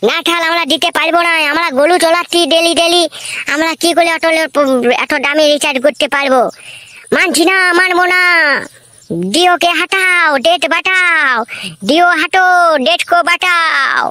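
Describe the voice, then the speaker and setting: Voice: extremely high pitch, steady tone, normal speed, bright, forceful tone, dry recording. An actor voicing an earnest, rousing cartoon schoolboy from a poor cowherd home, speaking in a repetitive, declamatory way. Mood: indignant, chanting. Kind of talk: comic cartoon speech with chanted slogans.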